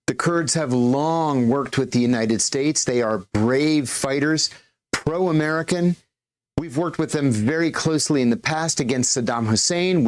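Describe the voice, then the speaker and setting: A male commentator on a TV news clip with a voice of average pitch. He speaks in a measured, confident way and pauses now and then to find the word. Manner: measured, confident, a few hesitations. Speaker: commentator interviewed on TV. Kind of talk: TV news interview.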